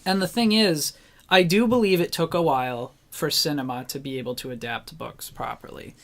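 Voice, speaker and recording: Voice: mid-range voice, moderate pace. A co-host in a casual discussion show, speaking informally and with firm opinions. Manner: informal, opinionated, mildly swearing.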